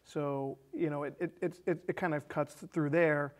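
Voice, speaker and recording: A man's voice: on the high side, steady tone, normal speed. A man in his thirties with an American accent, casually recapping a film as a co-host, often restarting and repeating phrases. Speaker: film discussion show co-host. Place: small indoor studio set with curtain.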